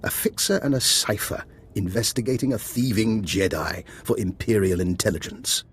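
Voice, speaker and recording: A male actor playing a genteel, calculating imperial intelligence fixer in a video game, speaking in a measured, courteous, formal way. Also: on the low side, animated, breathy edge. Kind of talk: scripted game cutscene dialogue.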